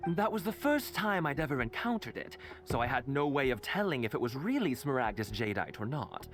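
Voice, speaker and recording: A man's voice: medium pitch, big pitch swings, a little fast, a bit bright, soft background music. An actor playing a polite, deferential male craftsman shopkeeper in a video game, with a hesitant, theatrical manner. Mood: musing.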